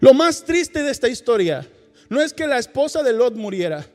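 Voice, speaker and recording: A man's voice: very high voice, big pitch swings, moderate pace, darkish tone, big-room sound, soft background music. A man, preaching a sermon and leading an emphatic prayer at a church service. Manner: fervent, emphatic preaching with repeated calls of "Señor". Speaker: pastor.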